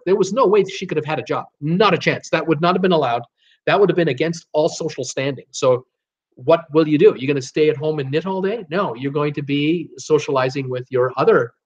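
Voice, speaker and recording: Man, early sixties, slightly high voice, rambling casual storyteller, halting repetitions, dull lo-fi audio. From Canada. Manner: hesitant, repeats words as he thinks aloud. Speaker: host of a cruise travel livestream.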